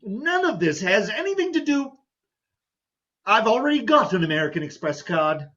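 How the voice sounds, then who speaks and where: A dull recording of a mild, flustered doctor played by a man in a stage farce. His pitch jumps about as he hesitantly protests and tries to get out of things. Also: sing-song, moderate pace, sharp tone, heavily acted. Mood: indignant, baffled. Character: flustered, reluctant mild male doctor, easily put upon. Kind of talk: stage farce readers theater acting.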